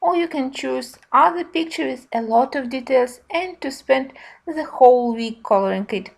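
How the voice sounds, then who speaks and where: A woman giving a casual review narration in simple, slightly halting non-native phrasing. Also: sits high, lively intonation, vocal fry. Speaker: colouring book reviewer.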